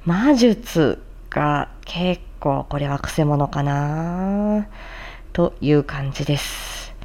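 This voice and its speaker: A woman voice performer with a soft, mellow tone that sits a little low. Her intonation swings widely as she presents and demonstrates recitation practice. She pays close attention to how each phrase is articulated. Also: expressive, takes it slowly. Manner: articulation-focused, demonstrating and explaining tricky phrases. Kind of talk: casual explanatory talk with formal script recitation.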